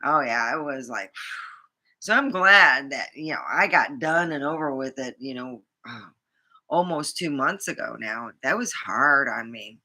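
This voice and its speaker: A woman's voice: rumbling low, animated, a little slow, bright, forceful tone. A woman vlogger chatting casually and rambling, with many fillers and restarts.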